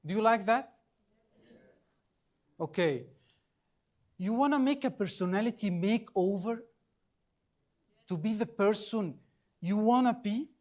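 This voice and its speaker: Slow high-voiced trainer. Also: male voice, a little mellow. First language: Arabic. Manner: deliberate, coaxing, quizzes the audience often. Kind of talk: live training workshop.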